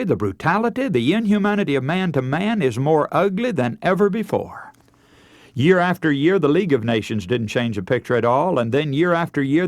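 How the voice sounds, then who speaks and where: Animated radio preacher, dry studio sound. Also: male voice, fairly high pitch, dry recording. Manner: earnest, oratorical, emphatic. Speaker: radio preacher and broadcast host. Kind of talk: scripted radio sermon, rhetorical questions to listeners.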